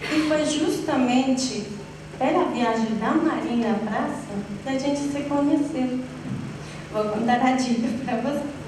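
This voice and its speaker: A woman from Colombia in her thirties with a Colombian Spanish accent, a native Spanish speaker giving a university lecture with deliberate, searching phrasing. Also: high voice. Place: university classroom or auditorium. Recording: echoey hall from far off, noisy.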